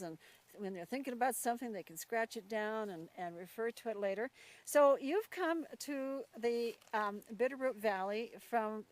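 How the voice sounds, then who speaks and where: A woman hosting a casual, chatty interview in an informal, folksy and encouraging manner. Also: a little high. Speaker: host introducing a new ranch worker.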